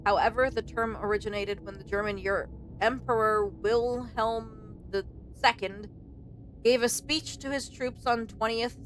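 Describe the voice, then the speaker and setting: A woman streaming a let's play, reading game text aloud and commenting in a casual, informal way. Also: rough recording. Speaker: streamer playing and reading a visual novel. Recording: clear rumbling background noise.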